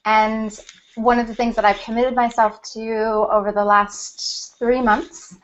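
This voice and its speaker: A woman hosting a panel on a video call, excitable and laughing, talking in quick bursts. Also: fairly high pitch, faint music behind. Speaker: panel host. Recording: dull lo-fi video call audio.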